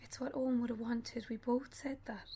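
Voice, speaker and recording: A woman acting a grieving young mother in a radio play, numb and fragile, with a hushed, breathy, hesitant delivery. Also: on the high side, fairly even intonation, a little hiss or hum, natural voice. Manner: hesitant, halting, subdued.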